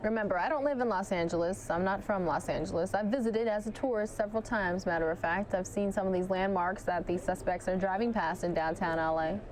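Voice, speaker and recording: Chatty woman anchor, voice going hoarse. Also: medium pitch, brisk, hardly any pauses, darkish tone, a little hiss or hum, rough recording. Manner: chatty, addresses viewers directly. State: losing her voice. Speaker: news anchor. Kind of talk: live chase commentary.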